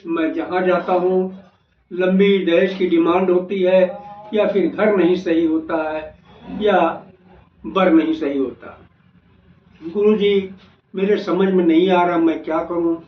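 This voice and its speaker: A man's voice: sits high, fairly even intonation, measured pace, pauses often. A man voicing a worried, weary father who pleads for his child's marriage, in an astrology video. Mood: worried, pleading. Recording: echoey, noisy, dull lo-fi, distant mic.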